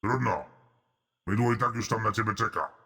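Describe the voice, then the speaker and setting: A sleazy cartoon cellmate with a gravelly, husky rasp and a bright, sharp edge. He talks in a deadpan, mock-friendly way that makes every line sound like a threat. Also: on the low side, normal speed, heavily acted. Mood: menacing. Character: sleazy menacing male prison cellmate, creepy. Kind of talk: animated comedy voice acting.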